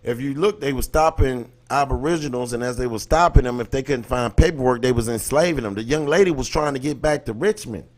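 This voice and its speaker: Male livestream host, American accent, medium pitch; impassioned, colloquial, emphatic street-level commentary with rhetorical questions. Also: brisk, hardly any pauses. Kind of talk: impassioned casual commentary, talking to live viewers.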